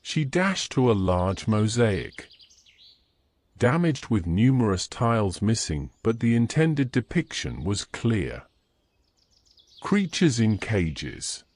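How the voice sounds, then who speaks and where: Male narrator, scripted fantasy storytelling, low pitch, highly animated intonation. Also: slow pace.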